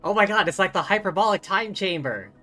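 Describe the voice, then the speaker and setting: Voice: medium pitch, animated, a little fast, bright, forceful tone. A game streamer giving casual live commentary, reading along and reacting to the game. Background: game music.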